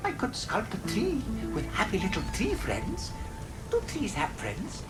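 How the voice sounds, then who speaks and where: Scatterbrained robot artist for a game: breezy, theatrical, swooping melodic delivery. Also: female voice, medium pitch, huge pitch swings, a little slow, loud music behind the voice. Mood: airy, musing. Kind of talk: video game cutscene acting.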